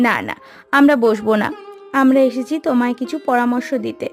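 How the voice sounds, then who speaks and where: A woman's voice: on the high side, steady tone, a little fast, faint music behind, close to an everyday voice. A woman voicing a practical, meddling village aunt in a cartoon. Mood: advising. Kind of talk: cartoon voice acting.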